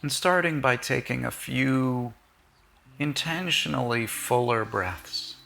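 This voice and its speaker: A man leading a guided body-scan meditation in short, gentle, measured phrases with long pauses. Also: mid-range voice, vocal fry, faint music behind.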